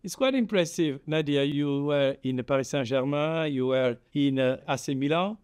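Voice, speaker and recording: A man hosting an interview from a studio set, giving measured, formal introductions and questions. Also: fairly high pitch, a little mellow, clear, resonant tone, dry recording. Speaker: host.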